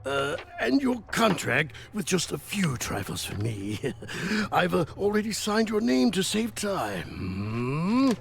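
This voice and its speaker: An actor playing a sly, oily male crime boss with a breathy wheeze in an animated video game. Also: high voice, slow pace, exaggerated voice. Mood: sly persuasion. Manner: oily, insinuating, chuckling menace. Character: sly, menacing crime boss, male, smugly wheeling and dealing.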